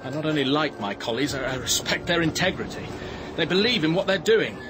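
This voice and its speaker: An actor playing an earnest, conscientious young scientist, direct and solemn, in a radio drama. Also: male voice, a little high, lively intonation, quick pace, background noise, lightly acted. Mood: grave, resolute.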